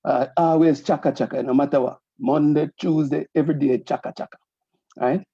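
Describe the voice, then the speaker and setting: A man hosts a community talk show in an easygoing, explaining way, often restarting his sentences. His voice is mellow and dark. The sound is dry and slightly muffled. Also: a little high, steady tone, slightly rough, no room sound. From Jamaica. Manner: friendly, explains slowly with many restarts. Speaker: host, association president.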